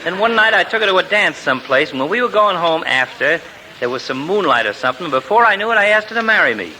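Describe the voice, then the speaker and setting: A man's voice: high-pitched, very animated, quick pace, sharp tone, full character voice, rough recording. A man acting a cynical, grumbling married wisecracker in a 1940s radio comedy, talking in folksy slang. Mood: wry complaining. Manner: wisecracking, colloquial. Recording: old radio recording with faint noise.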